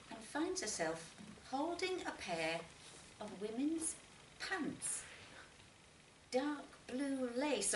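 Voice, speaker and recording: A woman reading literary fiction aloud as an expressive narrator, with deliberate phrasing and dramatic pauses. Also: mid-range voice. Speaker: short story reader. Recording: roomy, distant mic, faint noise.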